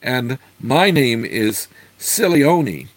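A man's voice: medium pitch, very animated, very slow, no echo, heavily acted. Man putting on a meek young country girl. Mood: shy, admiring. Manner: deferential, confiding.

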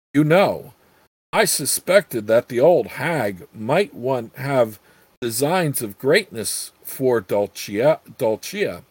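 A man's voice: mid-range voice, husky, no echo, exaggerated voice. A man reading aloud the lines of a stern, gray-bearded old male count, dry and commanding.

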